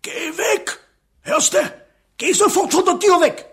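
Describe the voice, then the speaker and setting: A man's voice: extremely high pitch, sing-song, a little slow, full character voice. A man playing an irritable, defensive husband in a radio drama, agitated and emphatic. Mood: shouting, angry. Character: defensive, irritable husband barricading himself from trouble. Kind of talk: German radio drama acting.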